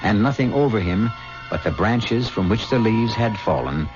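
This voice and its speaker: An older man hosting and narrating a 1970s radio drama in a measured, grave, theatrical way. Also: fairly low pitch, lively intonation. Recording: dull old radio recording with music.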